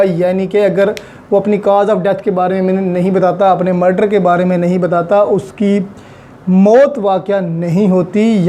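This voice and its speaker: A man's voice: high-pitched, warm tone, slight background noise. A man giving an online law lecture, patiently explaining step by step with examples and English legal terms. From Pakistan.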